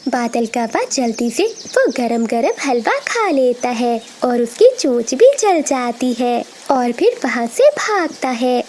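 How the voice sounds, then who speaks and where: A woman narrates a children's tale in a high voice with very lively intonation. It is scripted storytelling, recorded with faint noise behind it. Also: slow pace, hardly any pauses.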